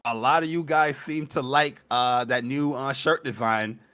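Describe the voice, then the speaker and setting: A young man co-hosting a casual gaming podcast, energetic and hyped as he talks. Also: mid-range voice, unhurried, clean tone. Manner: energetic, hype. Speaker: podcast co-host. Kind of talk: casual chat.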